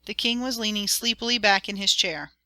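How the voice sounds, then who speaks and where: Woman reading a fairy tale aloud, crisp bright voice, dry. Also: medium pitch, a little fast, dry recording. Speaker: narrator. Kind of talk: storybook narration.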